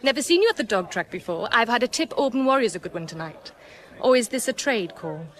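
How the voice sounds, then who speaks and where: A woman's voice: fairly high pitch, animated, fast talker, close to an everyday voice. An actress playing a brash, flirtatious female gun dealer in a radio crime drama, with a teasing, knowing manner. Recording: outdoor crowd ambience behind.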